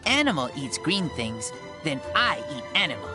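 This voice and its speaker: A man voicing a feral teenage wolf boy in a video game, blunt and earnest, speaking in halting, simple sentences. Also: fairly high pitch, very animated. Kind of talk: video game character voice lines. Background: music.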